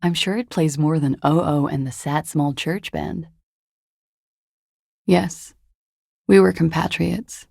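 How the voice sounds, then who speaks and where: Low creaky female voice, cool young disco dancer, flippant, evasive, deadpan, video game dialogue, close dry recording. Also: takes it slowly, long pauses, no echo, heavily acted. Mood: dry, teasing. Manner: languid, wry, deadpan, flippant. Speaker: video game character voice actor.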